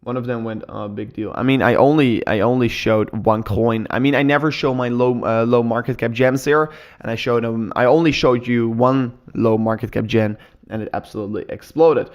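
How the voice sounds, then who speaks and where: Excitable man hosting a crypto stream. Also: mid-range voice, normal speed. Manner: speaks English as a learned second language; excitable, with repeated words and restarts. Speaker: crypto livestream host. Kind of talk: casual live stream chat, reading out viewer comments.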